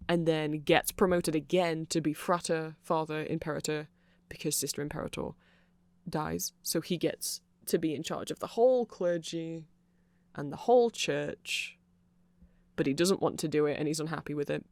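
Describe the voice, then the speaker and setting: A nerdy vampire shopkeeper, acted out for an ASMR roleplay, chatting casually to a friend. The voice has plenty of fry and sits in a very dry recording. The delivery rambles, hesitant yet excitable, with an apologetic streak. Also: medium pitch, normal speed, no room sound, natural voice. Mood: eager explaining.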